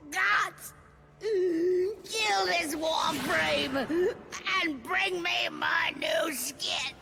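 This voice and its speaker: A woman's voice: very high-pitched, drawn-out words, creaky, exaggerated voice. A woman playing a cruel, imperious decaying queen in a video game, theatrical and menacing. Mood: commanding fury. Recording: loud music behind the voice.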